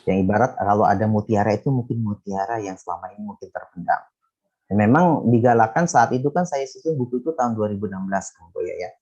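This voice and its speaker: A man's voice: on the low side, fast talker, darkish tone, muffled recording. A middle-aged man, an educator chatting casually and explaining his work in an interview. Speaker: teacher, education manager and author being interviewed. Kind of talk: casual explanatory chat with many fillers.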